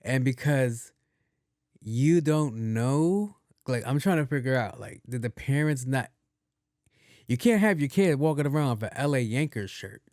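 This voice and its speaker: A young man hosting a podcast in a soft voice, rambling casually with plenty of cursing and restarts. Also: animated, measured pace, no room sound, clean studio sound. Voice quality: soft, not deep. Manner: loose and profane, with many restarts and repeats. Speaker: podcast host.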